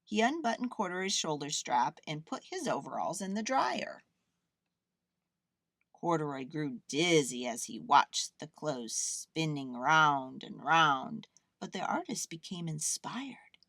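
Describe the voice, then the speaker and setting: A woman reads a picture book aloud for young children. Her narration is slow and expressive, with very lively intonation, in a close, dry recording. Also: low voice, dry recording. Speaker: children's storyteller.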